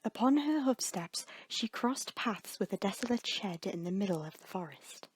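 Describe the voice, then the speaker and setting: A woman's voice: mid-range voice, expressive, moderate pace, background noise. A woman reading fan fiction aloud as a scripted, dramatic story narrator. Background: background music.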